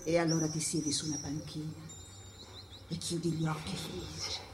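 A woman acting a solitary, haunted spirit in a theatrical radio monologue, incantatory and repeating phrases as the delivery swings between hush and cries. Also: low-pitched, little pitch movement, moderate pace, background noise, close to an everyday voice. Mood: wistful, hushed. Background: live jazz percussion and music.

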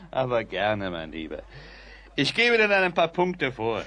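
An actor playing a boastful, exuberant young bridegroom in a German radio play, with a loud, cocky, mocking delivery. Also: male voice, medium pitch, huge pitch swings, unhurried, heavily acted. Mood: amused, condescending.

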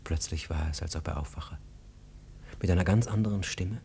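A man narrates a radio drama in a deep register. His delivery is literary, deliberate and sombre, with a faint noise behind the recording. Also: creaky, warm tone.

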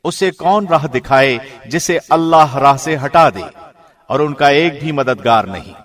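A man, a professional radio host, reading a translation aloud in a measured, formal way. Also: on the high side. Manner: measured, formal, even delivery. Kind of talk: scripted reading.

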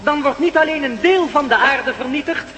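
An actor playing a calm, formal alien who is the last of his race, in a radio play with a noisy old recording. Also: extremely high pitch, moderate pace, lo-fi sound. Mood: detached. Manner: formal, detached and precise.

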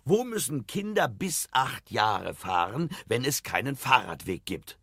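An eccentric quiz doctor for a children's radio play. The voice is raspy and forcefully bright, swinging wildly in pitch. He takes his time, pompous and theatrical. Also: big pitch swings, a little slow, no room sound, studio quality, exaggerated voice. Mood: jovial, pompous. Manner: pompous, theatrical, chuckling at own jokes. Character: eccentric, excitable quiz expert Doctor Speiche, boastful and grumbling. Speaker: quiz expert.

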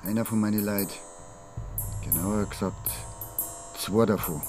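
A man's voice: lowish voice, steady tone, measured pace, over loud music, natural voice. An actor playing a bitter, compromised small-town policeman in a radio drama, blunt and accusing, with a Bavarian accent. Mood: bitter, defensive. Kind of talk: naturalistic radio drama acting.

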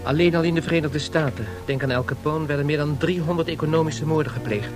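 A man's voice: a little high, fast talker, over music, lightly acted. A man playing a dramatic chronicler of grave events, reading scripted narration in a radio docudrama. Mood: sober.

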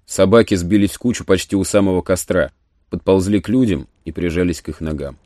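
A man narrating a literary audio play in a measured, solemn storytelling manner. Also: low-pitched, no room sound, studio quality. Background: soft music.

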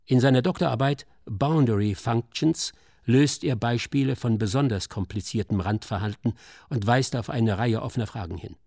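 A man reading scripted documentary narration in a sober, measured, articulate manner. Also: mid-range voice, gravelly, a little mellow, no room sound, clean studio sound.

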